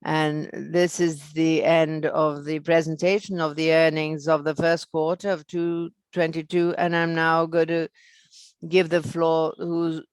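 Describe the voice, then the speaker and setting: A financial presenter speaks at a slow pace on an earnings call, in a smooth, clean voice. The phrasing is halting and broken into fragments, and the sound is very dry with no room around it. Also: medium pitch, level tone, no room sound.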